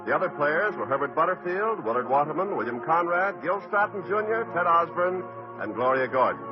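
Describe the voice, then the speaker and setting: A male radio announcer reading formal scripted credits in a clear, emphatic way. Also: on the high side, big pitch swings, brisk, music in the background. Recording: dull lo-fi 1950 radio broadcast.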